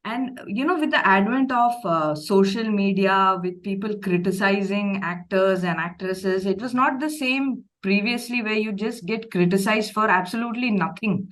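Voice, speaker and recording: A woman's voice: mid-range voice, fairly bright tone. Hesitant woman asking questions. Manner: hesitant, many fillers, thinking aloud. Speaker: interviewer host. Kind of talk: interview questions.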